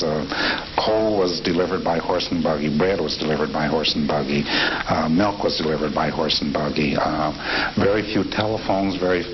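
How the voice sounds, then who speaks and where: Very deep-voiced man in his fifties. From Nebraska, USA. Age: 50s. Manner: reflective, unhurried, with frequent fillers and restarts. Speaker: interviewee. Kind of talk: relaxed oral history interview. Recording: dull lo-fi tape with steady hiss.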